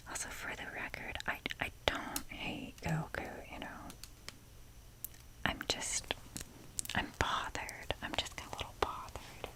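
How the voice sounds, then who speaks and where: A woman speaking in a soft breathy whisper, rambling casually and hesitantly with wry, self-deprecating humour in an ASMR show-and-tell style. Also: measured pace, low-quality recording. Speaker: ASMR video creator showing a toy collection.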